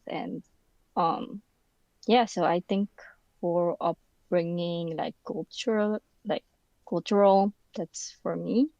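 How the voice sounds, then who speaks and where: A woman's voice: lowish voice, darkish tone, no room sound, lo-fi sound. A young woman from the Philippines who grew up in the Netherlands, a podcast guest speaking casually and searching for words with many fillers. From Philippines, raised in the Netherlands. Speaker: podcast guest, university student. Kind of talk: casual conversation. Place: indoor room.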